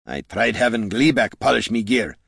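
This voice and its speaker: Gruff, exasperated adventurer. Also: male voice, on the low side, expressive, normal speed, a bit bright, dry recording, studio quality, exaggerated voice. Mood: grumbling. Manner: barking, scolding, rough colloquial speech. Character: gruff male adventurer, exasperated, responsible quest leader.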